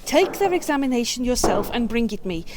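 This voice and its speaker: A woman's voice: a little high, very animated, fairly quick, background noise. An actress playing a busy, brusque noblewoman with a condescending manner in a Shakespearean audio play. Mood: brusque, hurried. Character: busy, impatient noblewoman of high rank, courteous but brusque.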